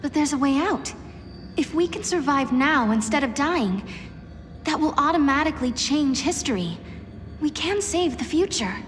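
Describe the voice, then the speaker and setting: Earnest young heroine, high voice, game cutscene in reverb with light music. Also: female voice, expressive, moderate pace, slight background noise. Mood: hopeful. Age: young adult. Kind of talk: video game cutscene acting.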